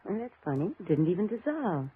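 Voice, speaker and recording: A woman playing an anxious, chatty young woman in a 1950s radio drama, earnest and expressive. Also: on the low side, a little fast, husky, exaggerated voice. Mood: puzzled. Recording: muffled, noisy 1950s radio recording.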